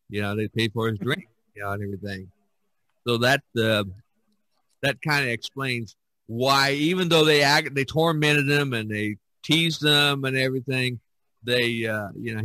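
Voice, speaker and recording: A man hosting a casual podcast chat, his voice bright and forceful as he tells things with animated emphasis and frequent restarts. The sound is dry and close, with no room around it. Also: medium pitch, no echo, rough recording. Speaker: podcast host. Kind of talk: casual discussion.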